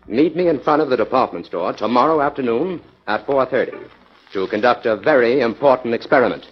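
An actor playing a calm, confident crime investigator in a 1940s radio drama, speaking in a measured, authoritative way. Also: male voice, mid-range voice, close to an everyday voice. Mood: businesslike. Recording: muffled, noisy 1940s radio recording.

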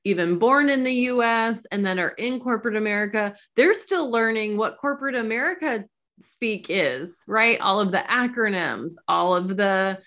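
A woman's voice: mid-range voice, expressive, normal speed, fairly bright tone. A woman hosting an interview, reflective and thinking aloud with fillers as she responds to her guest. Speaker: interviewer, host. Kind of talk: conversational interview.

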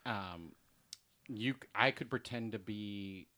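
Man, lowish voice, casual rambling chat, fillers and restarts. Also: takes it slowly, sharp tone.

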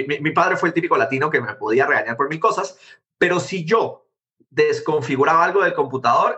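Guatemalan man, thirties, lively storyteller, slightly high bright voice. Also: lo-fi sound. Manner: animated, quick, jumps between anecdotes. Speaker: interview guest, tech founder. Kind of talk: conversational storytelling.

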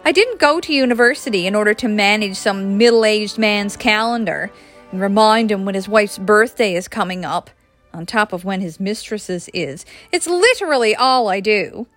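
A woman's voice: medium pitch, animated, moderate pace, fairly bright tone, faint music behind, natural voice. A woman voicing a sardonic, exasperated young woman in a story reading. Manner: wry, exasperated. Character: sardonic pregnant young woman, fed up with work.

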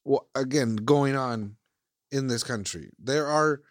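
A man from Iceland talks in a loose, meandering way, doubling back on his points and restarting his sentences. His voice sits at an ordinary pitch, and it is recorded close and dry with no room echo. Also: slow pace. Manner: rambling, circles back with "again" and "like". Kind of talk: casual chat.

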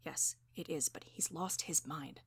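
A woman voicing an exasperated, vengeful female story character who speaks in a hushed, breathy, urgent way. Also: deep voice, measured pace, no echo, voice pushed down, heavily acted. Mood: hushed exasperation. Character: exasperated, vengeful woman, grimly set on killing.

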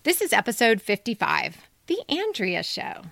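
A woman's voice: medium pitch, wildly swooping pitch, moderate pace, vocal fry, pushed and bright, no room sound. A woman podcast host and editor giving a conversational introduction to a show.

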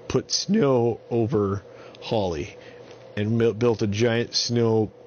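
A man's voice: on the low side, darkish tone, highs cut off, slight background noise. A man telling an improvised bedtime story to small children in simple, repetitive phrases.

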